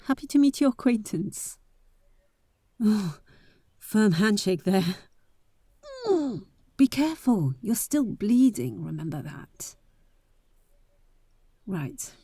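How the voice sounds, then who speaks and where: A woman playing a bold, impatient but caring adventurer in an intimate roleplay audio drama, with breathy, teasing, exasperated delivery. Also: medium pitch, sing-song, slow, long pauses, mellow, dry recording, full character voice. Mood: caring, calm.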